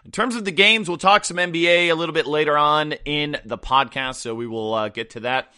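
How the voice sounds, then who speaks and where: A man hosting a podcast in casual chat, his voice hoarse and rough, rambling with many fillers. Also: on the high side, a little fast, bright, forceful tone. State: hoarse after a weekend of travel and partying. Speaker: podcast host.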